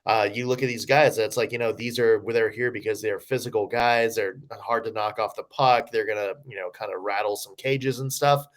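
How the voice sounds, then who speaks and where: A man's voice: lowish voice, steady tone, normal speed, few pauses. A man co-hosting a sports podcast, rambling through his analysis with many restarts. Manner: rambling, lots of fillers and restarts. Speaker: podcast co-host. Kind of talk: casual sports analysis chat.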